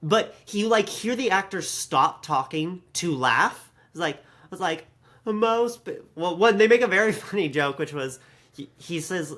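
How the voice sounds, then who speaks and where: A woman's voice: lowish voice, animated, a little slow, fairly bright tone. A woman in her late thirties chatting casually as co-host of a podcast. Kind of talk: casual chat.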